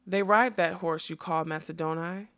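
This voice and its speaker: A woman's voice: on the low side, fairly even intonation, moderate pace, a bit bright. A woman voicing a whimsical, scatterbrained male butterfly in a storybook, theatrical and rambling, given to bursts of song and quotation. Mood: absentminded, declaiming. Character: whimsical scatterbrained male butterfly who sings and quotes nonsense. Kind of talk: acted audiobook storytelling.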